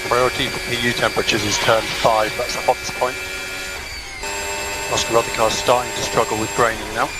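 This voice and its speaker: Loud engine and tool noise surrounds this male race engineer on team radio. His voice sits at a medium pitch, and he delivers short procedural calls, often repeating them, in a matter-of-fact way. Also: little pitch movement, brisk. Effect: team radio transmission.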